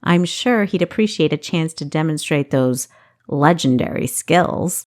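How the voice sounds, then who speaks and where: A woman's voice: low voice, lively intonation, unhurried. A woman voicing a defiant, witty female thief in an audiobook, scoffing and playfully sarcastic. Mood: mischievous, sarcastic. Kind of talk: audiobook character acting.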